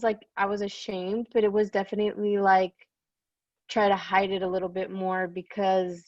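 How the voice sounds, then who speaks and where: A woman's voice: medium pitch, a little mellow, no room sound. Panelist, woman, reflective and hedging, dull dry audio. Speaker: student panelist.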